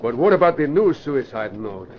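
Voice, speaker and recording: A man's voice: mid-range voice, big pitch swings, normal speed, natural voice. A man acting a grumbling hired killer in an old radio crime drama, formal in phrasing. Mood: sullen. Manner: formal uncontracted speech, complaining. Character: sullen, grumbling foreign henchman, a hired killer. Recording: muffled, hissy old radio recording.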